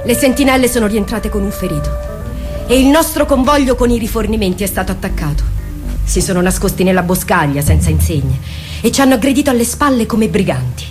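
Indignant army aide, played by a woman, radio play, mid-pitched, formal urgent report over clear music. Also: expressive, fairly quick, natural voice. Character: indignant military attendant reporting to the captain. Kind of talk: theatrical radio drama dialogue.

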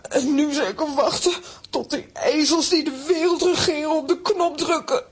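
A woman playing a dramatic, fearful aunt in a children's audio drama, halting and lamenting melodramatically. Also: sits high, natural voice. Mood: anguished. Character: dramatic, despairing aunt sick with fear of world events.

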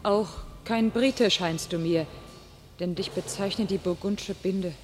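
Woman voicing a fervent young warrior maiden, solemn verse declamation, slow and measured, faint music behind, radio play. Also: mid-range voice, darkish tone, lightly acted. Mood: wary. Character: young female warrior prophet, fervent and commanding. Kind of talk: classic radio play acting.

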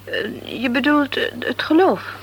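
An actress playing a thoughtful young student full of doubt in a Dutch radio play, speaking hesitantly. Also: female voice, high-pitched, expressive, measured pace, vocal fry, breathy edge, heavily acted. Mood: pensive, troubled. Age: young adult. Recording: noisy old radio play recording.

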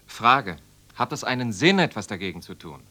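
An actor playing an earnest young resistance activist, urgent in dramatic radio play dialogue. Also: male voice, lowish voice, sing-song, moderate pace, bright, forceful tone, full character voice.

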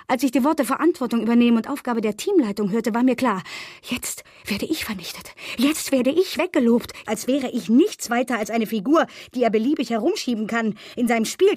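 A woman acting in a radio drama monologue as a bitter, betrayed agency employee, narrating her thoughts with measured irony. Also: a little high, expressive, a little mellow, no room sound, clean studio sound. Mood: bitter, stunned. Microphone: close, dry studio microphone.

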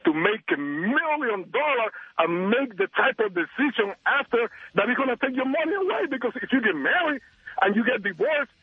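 A man's voice: extremely high pitch, big pitch swings, normal speed, husky, no room sound. A man with a Cuban accent calling in to a radio show, talking emphatically and throwing out rhetorical questions. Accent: Cuban Spanish. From Cuba. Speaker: caller. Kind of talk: call-in radio chat. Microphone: telephone. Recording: muffled phone line.

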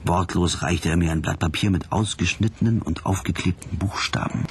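An actor playing a bored, sardonic detective who narrates a radio crime play in a deadpan, wry way. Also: male voice, sits low, moderate pace, a little hiss or hum. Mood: sardonic, suspenseful.

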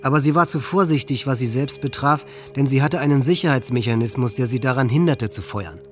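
A man's voice: medium pitch, fairly quick, lightly acted. Guy acting as angry teen boy full of hate, cocky n swearing, radio play w music under it. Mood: darkly sardonic. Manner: bitter, boastful, profane. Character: hate-filled, resentful teenage boy plotting revenge. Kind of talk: German radio docudrama monologue.

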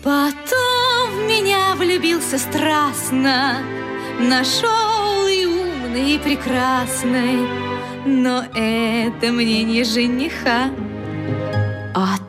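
A woman's voice: high-pitched, extremely slow, over loud music. A woman playing a coquettish, delighted young bride in a theatrical radio comedy. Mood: coy, playful.

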